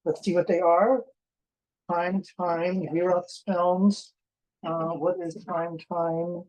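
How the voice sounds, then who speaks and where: An excitable person presenting film finds in a casual unboxing chat, with joking asides and exclamations. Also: mid-range voice, slow pace, low-quality recording.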